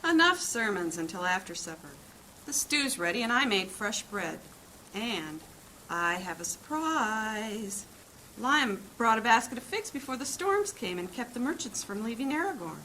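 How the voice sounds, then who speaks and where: Woman playing a caring wife, expressive high voice. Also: normal speed, slight background noise, poor audio. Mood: homely, fussing. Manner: motherly, fussing, expressive. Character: caring, worried wife and mother of priest's household. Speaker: voice actor in an audio drama. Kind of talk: fantasy audio drama acting. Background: music.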